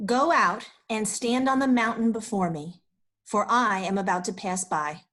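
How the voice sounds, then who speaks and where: A woman's voice: mid-range voice, unhurried, a bit bright. A woman reading the commanding voice of God in a dramatized scripture reading. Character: commanding voice of God addressing a prophet.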